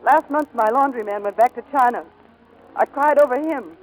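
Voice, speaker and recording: A woman's voice: a little high, moderate pace, lightly acted. An actress playing a plucky young woman pilot in 1940s radio drama, hesitant and wistful behind brave wisecracks. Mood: hurt, wry. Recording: noisy vintage 1940s radio broadcast.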